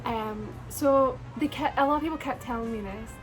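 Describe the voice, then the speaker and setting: A young woman from Scotland with a soft, partly Americanized Scottish accent, chatting casually to camera with lots of likes and ums. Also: fairly high pitch, moderate pace, soft background music, poor audio. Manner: chatty, frequent likes and ums, laughs between words. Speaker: vlogger. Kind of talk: casual personal storytime.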